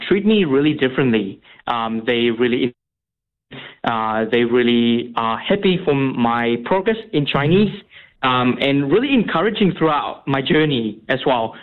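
Young man, first language Vietnamese, phone-line guest, hesitant, lots of fillers. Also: medium pitch. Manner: halting, many fillers and restarts. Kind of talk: radio interview.

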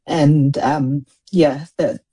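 Low, mellow-voiced New woman. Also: fairly even intonation, husky. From Samoa, raised in New Zealand. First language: Samoan. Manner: thoughtful, halting, many restarts. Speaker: interviewed poet. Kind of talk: reflective interview answers.

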